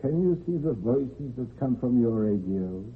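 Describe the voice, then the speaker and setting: A spooky ghost sailor grandpa, foreign accent, really husky, slow and swooping, old radio horror play. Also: male voice, medium pitch, animated. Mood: eerie, beckoning. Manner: slow, portentous. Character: mysterious ghostly seafaring grandfather, eerie unseen visitor. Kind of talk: old-time radio horror drama acting. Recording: tinny, band-limited vintage radio recording.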